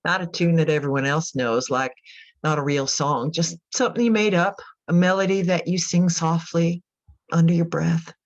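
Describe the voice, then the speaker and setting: An actress playing a troubled, reflective country mother in a radio drama monologue, confiding thoughtfully with pauses. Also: lowish voice, fairly even intonation, dull audio, lightly acted. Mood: tender, wistful.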